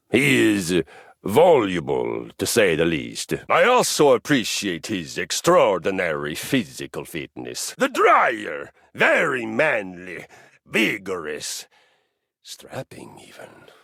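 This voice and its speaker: A violence-crazed warrior handrail. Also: male voice, gravelly, dry recording, studio quality, exaggerated voice. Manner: grandiose, theatrical, swings from booming shouts to hushed menace. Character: bloodthirsty male warrior handrail, boastful, violence-obsessed. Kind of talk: video game voice acting.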